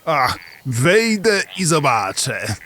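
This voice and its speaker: Brief: man acting a nosy, grumbling waste-site worker in a kids' audio play, slow, talking to himself. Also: on the high side, big pitch swings, noisy background. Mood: grumbling, curious. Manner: muttering to himself. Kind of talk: children's audio drama acting.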